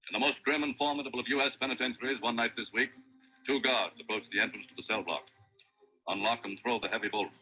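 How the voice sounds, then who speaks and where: An actor playing a grave, urgent newsreel announcer, clipped and declamatory, narrating a 1930s radio news dramatization on an old recording. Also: male voice, medium pitch, fast talker, pushed and bright, highs cut off, natural voice. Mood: grave, dramatic.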